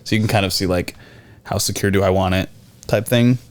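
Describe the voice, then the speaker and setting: A man's voice: deep voice, steady tone, fairly quick. A man with an American accent in casual, informal podcast banter as a co-host. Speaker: podcast co-host, IT and cybersecurity worker.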